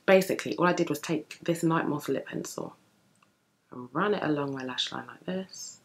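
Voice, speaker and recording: A young woman with a deep early-morning voice, chatting through a makeup tutorial at home while she works on her eyes. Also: normal speed, vocal fry, a little hiss or hum. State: just woken, deep morning voice. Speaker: beauty vlogger. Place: home room with bookshelves, indoors.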